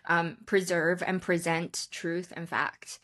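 A woman's voice: fairly low pitch, flat delivery, slow, fairly bright tone. A woman in her thirties from China, chatting candidly and casually as an interview guest on a video call. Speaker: guest, photographer. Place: indoor room, remote video call.

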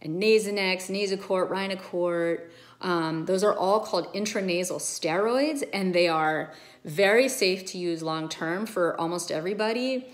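A woman's voice: on the low side, normal speed. A middle-aged woman doctor explaining nose and sinus care to a phone camera at home, earnest and emphatic. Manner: earnest, emphatic, gestures with her hands. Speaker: ENT doctor. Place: home room with bookshelves, indoors. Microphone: phone camera at arm's length.

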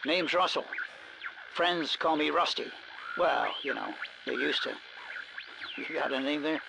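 Game role: folksy, jittery American survivor, high gravelly voice, over walkie-talkie. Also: male voice, unhurried, music in the background. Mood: friendly, wry. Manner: folksy, drawling small-town turns of phrase, stammering. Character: folksy, jittery survivor named Rusty, stranded among the undead. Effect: two-way radio. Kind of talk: video game cutscene acting. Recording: noisy walkie-talkie radio transmission.

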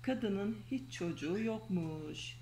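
Mother reading to her child at bedtime; hoarse, soft, unhurried. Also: mid-range voice, animated, a little hiss or hum. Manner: gentle, coaxing, reads slowly. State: losing her voice. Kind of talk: reading a children's storybook aloud. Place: home bedroom, indoors.

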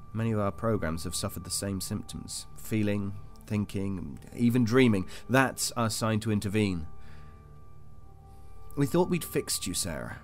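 An actor playing a cold, detached interrogator in an audio drama, formal, controlled and insistent. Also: male voice, fairly low pitch. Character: cold, clinical male interrogator of a captive. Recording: music under the voice.

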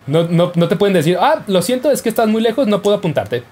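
Young guy joking through his gameplay, kinda high voice, jumps all over the place. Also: big pitch swings, quick pace, darkish tone, slight background noise. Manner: playful, jokey, yelps and laughs while playing. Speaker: gaming YouTuber. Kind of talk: casual gameplay commentary.

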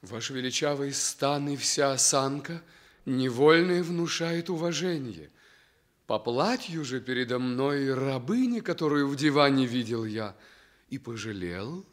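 An actor playing a noble, lovestruck, fatalistic young prince in a radio play, with lofty, lyrical, declamatory phrasing. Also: male voice, medium pitch, animated, a little slow, natural voice. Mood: startled, courteous. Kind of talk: declamatory classic stage acting in a radio play.

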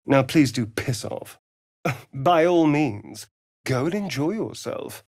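Vain, flirtatious British vampire rogue for a fantasy game: slow, arch delivery with big swoops in pitch. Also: male voice, lively intonation, vocal fry, darkish tone, no echo, studio quality, heavily acted. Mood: sardonic, teasing. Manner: arch, theatrical, drawling, mocking. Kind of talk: theatrical video game character acting.

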